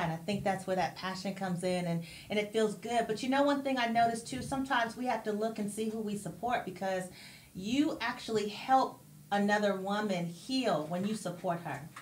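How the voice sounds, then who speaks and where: Woman radio host, affirming and faith-minded, heard in an echoey room with faint hiss. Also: medium pitch. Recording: roomy echo with faint background noise.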